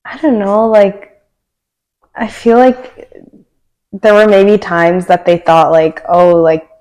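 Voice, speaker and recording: A woman's voice: mid-range voice, level tone, slow pace, dark tone. Chatty young Canadian woman. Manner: chatty, filler-heavy with many likes, laughs often. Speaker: guest. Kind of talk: casual podcast conversation.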